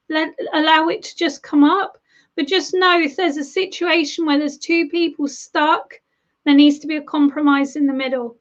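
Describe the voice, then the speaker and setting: A high-voiced woman reading tarot cards live for viewers, warm-natured in words, calling people darling and love. Her voice is a bit hoarse from losing it, and the stream audio sounds dull and lo-fi. She talks in loose, chatty runs with small restarts. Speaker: tarot reader.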